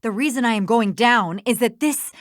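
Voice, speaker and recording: A woman's voice: a little high, lively intonation, moderate pace, no echo, clean studio sound, natural voice. An actress playing a bitter, sarcastic female lawyer villain in a video game, ranting with emphatic contempt. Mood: bitter, mocking.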